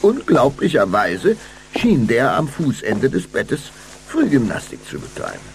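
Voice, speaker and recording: A man's voice: medium pitch, huge pitch swings, a little slow, gravelly, a little mellow, slight background noise, rough recording. A man narrating a children's audio drama in a scripted storytelling style.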